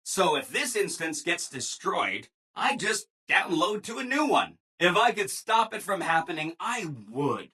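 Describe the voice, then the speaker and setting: An actor playing a relentlessly cheerful, eager-to-please robot assistant in a video game, with a chipper, ingratiating, singsong delivery. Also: male voice, high voice, big pitch swings, measured pace, hardly any pauses, pushed and bright, breathy edge, heavily acted. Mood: apologetic cheer. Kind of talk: video game character dialogue.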